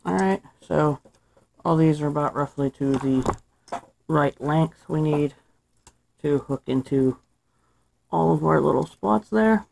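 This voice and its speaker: Man, young adult, gravelly voice, casual DIY tutorial, workbench, faint room noise. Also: on the high side, slow, long pauses, rough recording. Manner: relaxed, thinks aloud while working. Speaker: DIY maker. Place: indoor workshop table.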